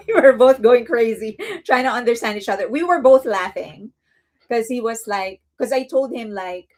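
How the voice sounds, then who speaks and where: A woman's voice: fairly high pitch, normal speed. A woman with an accent, hosting a casual fan news livestream, chatty and rambling, often laughing. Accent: non-native English accent. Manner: speaks softly and coos to a baby at times. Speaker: host of a fan news channel.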